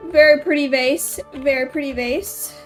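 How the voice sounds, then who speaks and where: A woman's voice: sits high, steady tone, moderate pace. A woman giving casual gameplay commentary as a streamer, with playful, offhand reactions. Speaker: game streamer. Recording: commentary over game music.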